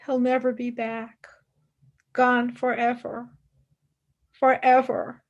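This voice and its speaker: Woman playing intense young student lady, radio drama, highish voice, slow and very expressive. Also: muffled recording. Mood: grieving, anguished. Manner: dramatic, fervent, deliberate. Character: intense, devoted young female philosophy student, emotionally fervent. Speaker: actor. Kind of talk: radio play acting.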